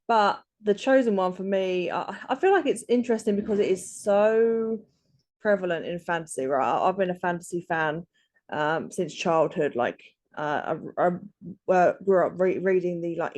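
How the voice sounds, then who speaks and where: A woman in her thirties speaks as a guest author in a relaxed interview. Her voice sits on the low side, and she hesitates often, circling back with ums and restarts as she gathers her thoughts. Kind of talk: casual interview.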